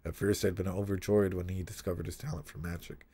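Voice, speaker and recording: Casting: male streamer with a lowish voice, reading aloud and reacting casually, animated and loose with asides. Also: fast talker, husky. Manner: animated, profane, frequent asides. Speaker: streamer and psychologist commentator.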